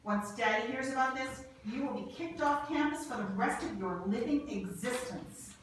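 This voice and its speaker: A woman's voice: fairly high pitch, moderate pace, distant mic, natural voice. An actress reading a curt, haughty young woman scientist at a table read. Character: proud, prickly young woman scientist Eve. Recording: echoey room, mic from afar, faint noise.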